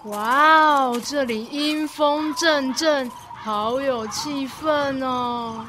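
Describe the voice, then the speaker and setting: A woman's voice: sits high, extremely slow, noisy background. A woman playing a bold, curious, thrill-seeking schoolgirl with a Taiwanese accent in a student radio drama. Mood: excited, eager. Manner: teasing, eager.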